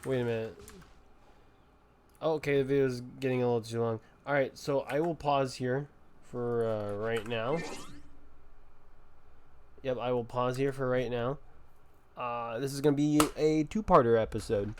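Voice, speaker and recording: Male gameplay commentator: mid-pitched, unhurried casual talk, faint background noise. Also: fairly even intonation, darkish tone. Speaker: video game player making a playthrough video.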